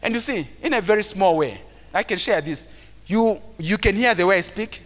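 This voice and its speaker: A man with a mild stammer giving a preachy motivational talk to a live audience at a conference, acting out timid voices and sometimes shouting to make a point. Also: sits high, normal speed. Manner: preachy, rising to shouts for emphasis; acts out timid voices of self-doubting people. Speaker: motivational speaker. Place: large conference hall. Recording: long hall reverb.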